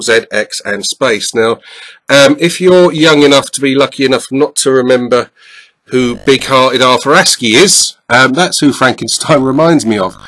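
A man's voice: medium pitch, a bit bright, no room sound, poor audio. A middle-aged man with a stuffed-up nose, casually commenting over a retro game with lots of ums and uhs. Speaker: retro gaming YouTuber.

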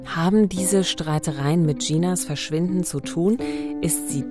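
A woman's voice: lowish voice, a little slow, over music. A woman co-hosting a true-crime podcast, narrating and putting questions to her colleague. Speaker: podcast co-host.

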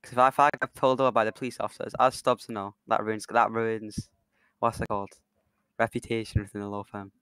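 British man, slangy joker, quick delivery, lowish pitch, faint background noise. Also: level tone, fairly bright tone. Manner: slangy, joking, exaggerating. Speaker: online roleplayer playing a lawyer. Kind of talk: casual bantering chat in game roleplay.

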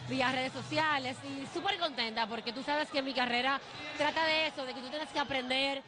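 A woman's voice: high voice, brisk, over music. A young woman from Santiago with a Dominican accent, speaking fluently and upbeat in a live interview on an outdoor court. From Santiago, Dominican Republic. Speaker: sports journalist and team spokesperson. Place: outdoor neighborhood basketball court. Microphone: handheld held by interviewer. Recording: crowd and noise outdoors.

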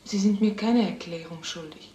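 A woman's voice: mid-range voice, normal speed, dark tone, lightly acted. An actress playing a guarded single mother from a village, hesitant and wary, in a crime drama. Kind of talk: mid-century German crime film dialogue. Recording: noisy, echoing old film soundtrack.

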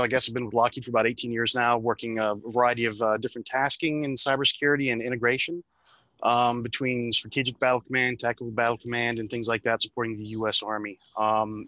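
Man in his forties on a headset, bright edgy voice, dry sound, fumbles words. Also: medium pitch, no echo. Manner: rambling, loses his words. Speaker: panelist. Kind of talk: panel self-introduction. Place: home office.

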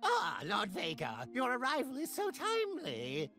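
A woman's voice: sits high, huge pitch swings, unhurried, a bit bright, full character voice. A woman voicing an eager, fawning villain's minion in a video game, theatrical and gleeful. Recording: music behind.

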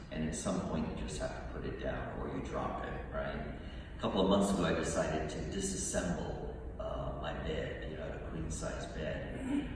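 A very echoey, distant recording carries the low voice of a man of sixty across a large church. He is a priest giving a homily, speaking in a measured way. Background noise hangs around his words. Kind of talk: homily, storytelling and preaching to a congregation. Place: large modern church, indoors. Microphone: distant camera from the seats, amplified voice at lectern.

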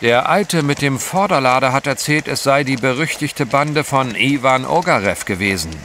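An actor playing a polite, unflappable English gentleman adventurer in an audio drama, with formal phrasing. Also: mid-range voice, expressive, normal speed, a little hiss or hum. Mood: composed. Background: dramatic music.

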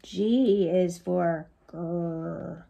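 An American woman in her forties hosting a read-aloud storytime for young children, in an animated, sing-song way with playful sound effects. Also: on the low side, extremely slow, vocal fry. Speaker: children's librarian, storytime host. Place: small lamp-lit room at home.

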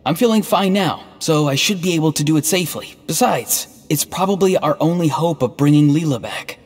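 An actor playing a calm, earnest, self-sacrificing young man in an anime game, with an understated delivery. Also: a little high, a little fast, close to an everyday voice. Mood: quietly determined. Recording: long reverb, music behind.

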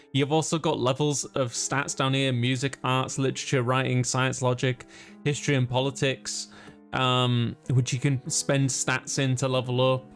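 A man giving casual, jokey, rambling commentary as he plays a video game for an audience. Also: medium pitch. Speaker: let's play streamer. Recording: music behind the voice. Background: game music.

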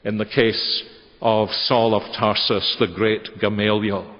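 Older male preacher, unhurried and deliberate, recorded dull in a big reverberant sanctuary. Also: lowish voice, level tone. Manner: measured, gesturing preaching cadence. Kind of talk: sermon. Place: large church sanctuary. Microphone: lectern microphone. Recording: dull church webcast audio with long reverb.

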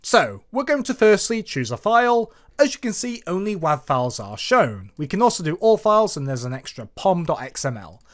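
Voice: mid-range voice, very animated, normal speed, few pauses. A tutorial presenter narrating a coding screencast in a casual, explanatory manner. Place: voice-over for a screen recording.

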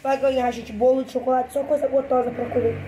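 A woman's voice: high voice, flat delivery, quick pace, lightly acted. A girl voicing a talkative girl doll with a cold who hosts a toy play and addresses the viewers, over a noisy home recording.

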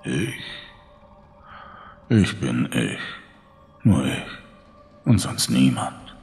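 A sinister voice from inside a bunker survivor's mind, gravelly and menacing. It speaks extremely slowly in a horror tale, soaked in long reverb with music behind. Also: male voice, extremely low pitch, sing-song, darkish tone, pitched below the performer's own voice, full character voice. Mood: eerily menacing. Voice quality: gravelly, breathy at times. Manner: slow, menacing, drawn-out. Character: sinister male inner voice haunting a lone bunker survivor. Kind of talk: German horror audiobook narration.

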